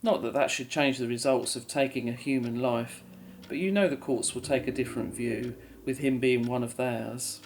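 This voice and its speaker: A woman's voice: very deep voice, moderate pace, darkish tone. A woman acts out a weary middle-aged mother in an audio drama. She is grave and resigned, full of remorse. Some faint noise and ambience sits behind her.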